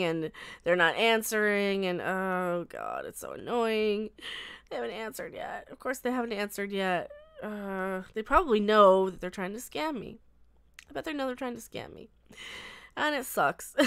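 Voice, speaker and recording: A woman's voice: medium pitch, fairly bright tone, clear, resonant tone. Stuffy-nosed woman chatting on a live stream. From Colorado. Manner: rambling, chatty, laughs often. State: stuffy, congested from a cold. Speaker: livestream host. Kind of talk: casual live-stream chat with viewers.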